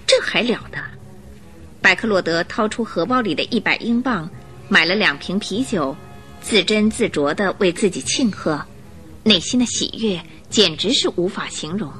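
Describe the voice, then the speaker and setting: A woman reading scripted story narration for a radio drama in an expressive way. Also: medium pitch, normal speed, soft background music.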